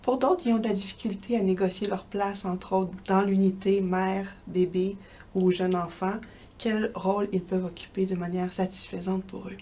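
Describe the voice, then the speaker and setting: Québécois woman researcher, calm and articulate. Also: mid-range voice, moderate pace. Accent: Québécois French. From Quebec. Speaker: researcher interviewed as guest. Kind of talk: explanatory interview answers.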